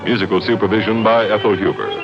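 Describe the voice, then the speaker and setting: A man's voice: fairly low pitch, quick pace, music in the background. A man working as a radio announcer, reading scripted credits in a mid-century broadcast style. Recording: muffled old radio broadcast.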